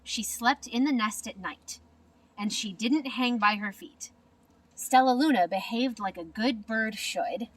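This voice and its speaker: A woman narrating a children's storybook in an expressive, deliberate manner. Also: on the high side, dry recording, far from the mic, low-quality recording. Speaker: storybook reader.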